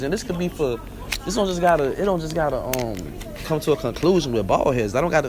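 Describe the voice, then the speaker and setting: A man's voice: a little high, background noise. A young man with a Barbadian accent hosting a rambling, conversational solo talk and often asking "you know what I'm saying?". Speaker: vlog host. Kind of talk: casual solo monologue.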